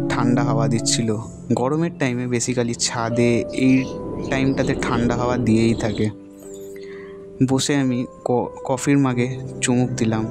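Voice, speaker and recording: A man narrating a first-person story as a storyteller. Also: mid-range voice. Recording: loud plucked-guitar music behind voice. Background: guitar music.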